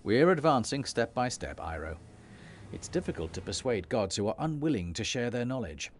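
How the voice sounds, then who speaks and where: An actor playing a commanding, measured and decisive warrior leader in a fantasy video game. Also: male voice, mid-range voice, sing-song, natural voice.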